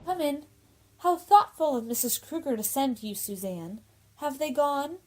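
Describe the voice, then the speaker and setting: A woman's voice: sits high, lively intonation, measured pace. A woman acting a sweet, vibrant young woman in love in a theatrical radio play. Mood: gracious, happy.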